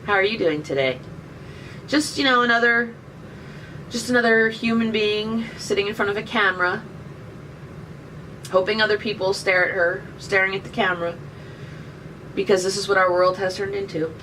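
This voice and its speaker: A woman's voice: mid-range voice, fairly even intonation, moderate pace, pauses often, sharp tone. Chatty woman streaming from afar. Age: 30s. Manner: chatty, jokey, answering viewer questions. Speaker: live streamer. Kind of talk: casual live stream chat. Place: indoors by a window. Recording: distant webcam mic, faint background noise.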